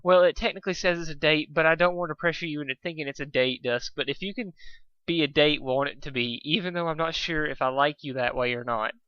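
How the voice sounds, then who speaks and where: A man voicing a timid, gentle female pony who rambles apologetically, as in a cartoon fan story. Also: fairly high pitch, fast, clean tone, no echo, dull audio. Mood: flustered.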